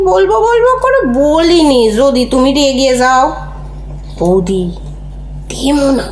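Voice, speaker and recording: A woman playing a high-pitched, lively, cheeky housemaid. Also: roomy sound, slight background noise, exaggerated voice. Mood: sly, gossipy. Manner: theatrical, gossipy, colloquial and teasing. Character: cheeky, nosy housemaid teasing her employers. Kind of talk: Bengali audio comedy play acting.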